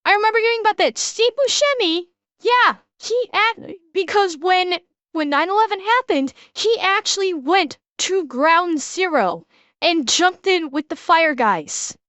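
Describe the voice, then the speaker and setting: A high-pitched woman with a broad Midwestern accent, chatting loosely to her stream audience as she reacts to a clip. She rambles, restarts her sentences and corrects herself mid-thought. Her voice sounds dry and close, with no room around it. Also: expressive, measured pace, a bit bright, no room sound. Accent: broad Midwestern American. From Chicago, USA. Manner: rambling, self-correcting, talks to chat. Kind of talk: casual reaction stream chat.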